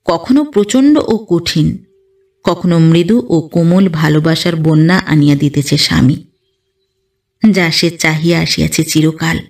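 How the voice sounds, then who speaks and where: A woman reading a literary story aloud in an expressive, dramatic, emotive manner. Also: low voice, unhurried, far from the mic. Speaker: audio story narrator.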